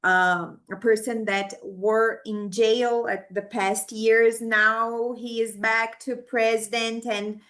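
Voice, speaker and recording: A Brazilian woman, a native Portuguese speaker with a Brazilian accent, answering questions at an academic forum in halting English with many restarts. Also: fairly high pitch, little pitch movement, fairly bright tone. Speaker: conference presenter.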